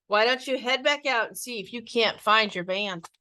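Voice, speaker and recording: A woman's voice: a little high, normal speed, pushed and bright. A woman voicing a loving, motherly older woman who cooks in her restaurant, in a children's storybook read-aloud. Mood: kind, encouraging.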